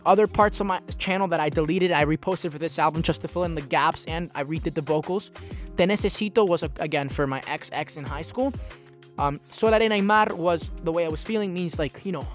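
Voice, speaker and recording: A young man from a Dominican and Peruvian background hosting his own podcast, rambling loosely, restarting sentences and swearing often. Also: fairly high pitch. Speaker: podcast host. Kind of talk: casual solo podcast talk.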